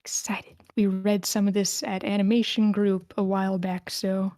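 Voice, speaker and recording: A woman's voice: medium pitch, level tone, normal speed, warm tone, no echo, studio quality. A woman hosting a screenplay table read, switching between informal, encouraging chat and narration of stage directions. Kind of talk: casual hosting and script narration.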